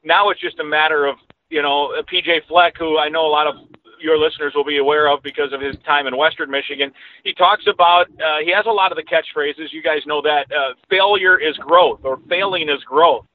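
A man's voice: a little high, steady tone, fairly quick, slight background noise. A male sports broadcaster giving conversational analysis as a guest over a remote call, rephrasing as he goes. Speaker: radio play-by-play announcer as interview guest. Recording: thin, narrowband phone-like line.

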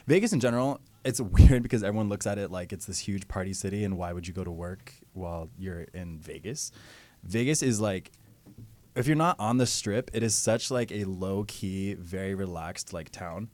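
A man who sings and raps, chatting casually as a podcast guest in a relaxed, filler-heavy way. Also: deep voice, normal speed. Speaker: guest musician. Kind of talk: casual chat.

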